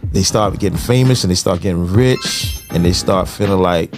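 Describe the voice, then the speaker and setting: A man's voice: sits low, moderate pace, over music. A middle-aged man talking casually and slangily as a podcast co-host, often checking in with tag phrases. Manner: slangy, frequent "you know what I'm saying" tags. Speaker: podcast co-host, music industry veteran.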